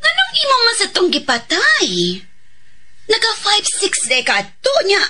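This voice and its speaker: A woman's voice: very high-pitched, huge pitch swings, a little slow, bright, forceful tone, exaggerated voice. A woman with a Cebuano Filipino accent playing a frank, teasing boss who grills a killer in a radio drama. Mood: incredulous, teasing.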